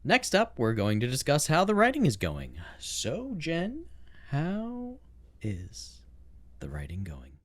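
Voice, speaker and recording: A man's voice: a little high, wildly swooping pitch, takes it slowly, a bit bright. A man co-hosting a podcast, talking casually and informally with plenty of enthusiasm. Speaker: podcast co-host and amateur theme music maker. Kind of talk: casual chat.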